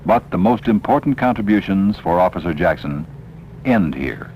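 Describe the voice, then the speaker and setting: A man reading scripted documentary narration in a formal, measured, emphatic way. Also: low-pitched, lively intonation, muffled recording, slight background noise. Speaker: training film narrator. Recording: 1970s training film soundtrack.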